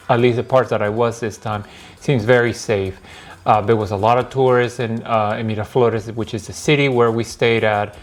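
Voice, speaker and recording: A man talking on his podcast in a casual, hesitant way, full of fillers and restarts. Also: mid-range voice. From Peru. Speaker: podcast host. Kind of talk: casual solo podcast talk.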